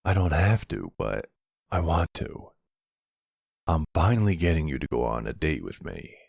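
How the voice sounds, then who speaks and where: A man playing a soft-spoken, courteous mafia boss in love, in an intimate boyfriend roleplay, with a low, gravelly, hushed voice and a tender, hesitant, earnest manner. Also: big pitch swings, normal speed, no echo, clean studio sound, heavily acted. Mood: tender, playful. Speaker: audio roleplay voice actor.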